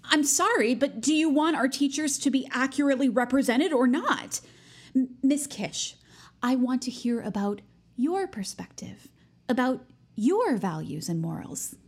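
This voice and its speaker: High-pitched, pushy teenage schoolgirl. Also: lively intonation, unhurried, slightly rough, heavily acted. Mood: prim, probing. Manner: chirpy, pushy, self-important. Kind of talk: naturalistic audio drama acting.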